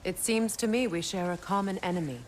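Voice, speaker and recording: An actress playing a proud, guarded middle-aged goddess in a video game, speaking in a measured, formal way. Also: female voice, mid-range voice, slight background noise, close to an everyday voice. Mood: grave, resolute. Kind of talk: video game character acting.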